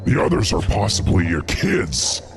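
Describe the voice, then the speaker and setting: A man's voice: low-pitched, wildly swooping pitch, normal speed, background noise, heavily acted. A man playing a bossy, sinister wolf chief in a dramatized fantasy story, speaking in a grave, commanding way. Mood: grave, brooding.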